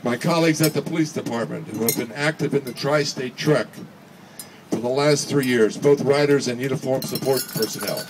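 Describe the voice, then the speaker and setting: A man's voice: mid-range voice, fairly quick. Distant man reading a speech aloud. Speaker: speaker reading another person's speech. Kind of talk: read-aloud speech at a charity event. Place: charity event finish line, before a gathering. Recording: distant PA speech with background noise.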